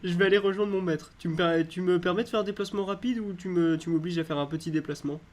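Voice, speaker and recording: A man's voice: sits high, fairly even intonation, fast talker. A man chatting casually and jokingly with friends as a player in a role-playing game. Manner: playful, jokey.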